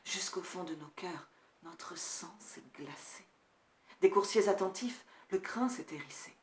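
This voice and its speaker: A woman performing a grief-stricken male tutor in a classical verse tragedy, solemn and measured, moving between hushed and forceful delivery. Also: fairly low pitch, roomy sound, close to an everyday voice. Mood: solemn dread. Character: grief-stricken male tutor recounting his prince's horrific death. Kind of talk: dramatic classical French verse recitation.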